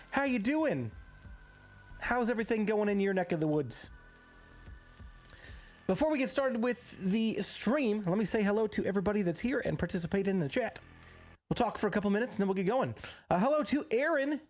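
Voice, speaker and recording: Streamer, hoarse after being sick, very lively swooping delivery, chatty and upbeat, soft music underneath. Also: medium pitch. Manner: upbeat, greets viewers by name one after another. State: hoarse, recovering from illness. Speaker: live game streamer. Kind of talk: casual live-stream chat with viewers.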